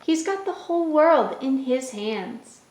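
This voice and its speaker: A woman's voice: sits high, expressive, unhurried, darkish tone. A woman hosting a children's story time, reading aloud and explaining in a simple way. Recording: roomy echo with music.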